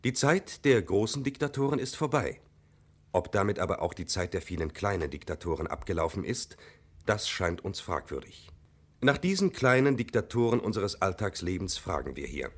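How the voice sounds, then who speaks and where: A man reading a formal essay text in a measured, deliberate way. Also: deep voice, sing-song. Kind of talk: read essay narration.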